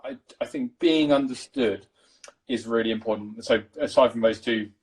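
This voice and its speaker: A man from East London with a posh English accent, chatting casually as a guest cinematographer, mumbling, talking fast and swearing freely. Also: mid-range voice, husky. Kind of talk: casual chat.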